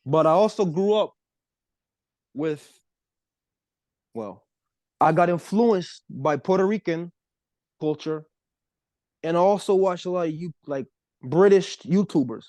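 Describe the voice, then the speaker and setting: Young dude from the states rambling on a podcast, lots of "like", dry close mic. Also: male voice, high voice, a little slow, long pauses, a little mellow, no echo. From United States. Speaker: podcast co-host. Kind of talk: casual chat.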